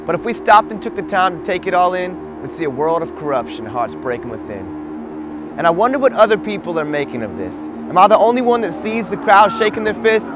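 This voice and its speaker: A man's voice: sits high, very animated, brisk, noisy background. A young man performing a rhyming spoken word poem in an earnest, urgent rhythm, as a voice-over. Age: young adult. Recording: voice-over over loud music.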